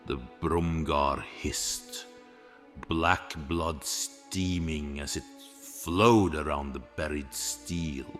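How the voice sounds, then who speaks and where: A man with a profoundly deep voice narrates a dramatic fantasy tale. His scripted delivery moves slowly, with music playing behind it. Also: a bit bright.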